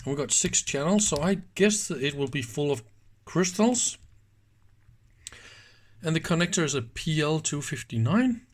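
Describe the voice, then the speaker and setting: A man's voice: on the high side, lively intonation, normal speed, long pauses. A man giving casual hands-on commentary at an indoor workbench, thinking aloud informally with small exclamations. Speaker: host of electronics teardown videos.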